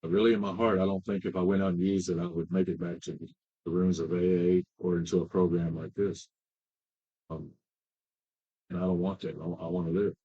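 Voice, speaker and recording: A man in his fifties telling his recovery story as a treatment client, halting, with many fillers and restarts. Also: deep voice, flat delivery, breathy edge, muffled recording. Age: 50s. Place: treatment center.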